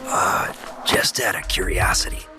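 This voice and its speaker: A man's voice: sits low, steady tone, unhurried, music in the background. A man playing a wry, teasing soldier comrade in a video game, casual and ribbing.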